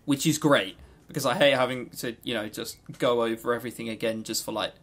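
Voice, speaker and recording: A man with a gravelly edge to a medium-pitched voice, co-hosting an informal podcast. He rambles through his opinions in a loose, joking way and swears freely. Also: brisk, fairly bright tone. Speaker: podcast co-host. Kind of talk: casual unscripted visual novel review chat.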